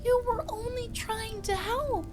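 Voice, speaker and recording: A woman's voice: very high-pitched, measured pace, music in the background. A woman voicing a timid, kind young magical creature in a family audio drama, hesitant and earnest. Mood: gentle, earnest. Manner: stammering, hesitant.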